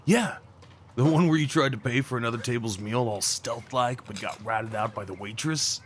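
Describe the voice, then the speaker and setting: A man acting a young small-town guy, an awkward and tender old friend, in a casual, halting, intimate audio roleplay. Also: mid-range voice, slight background noise, natural voice. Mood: fond, nostalgic. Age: young adult. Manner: halting, stammering, slangy, chuckling.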